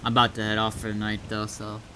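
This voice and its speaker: A man streaming a game as an admin, chatting casually in a halting, explanatory way with some swearing. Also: fairly low pitch, monotone, pushed and bright, a little hiss or hum, rough recording.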